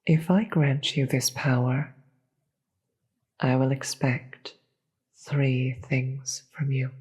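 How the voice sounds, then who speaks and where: A performer voicing a regal, solemn demon queen in a fantasy audio roleplay, speaking formally and gravely in a breathy voice that often drops into a whisper. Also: medium pitch, fairly even intonation, a little mellow. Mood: measured, grave. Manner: slow, formal, measured, grave.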